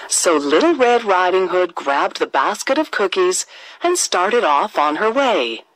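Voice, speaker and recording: A woman narrating a scripted children's fairy tale with deliberate, expressive phrasing for young listeners. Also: fairly low pitch, dry recording, poor audio. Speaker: storyteller.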